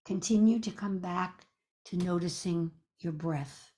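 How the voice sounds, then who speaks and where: A woman leading a guided meditation as a teacher, speaking in a gentle, soothing, measured way with pauses. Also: lowish voice.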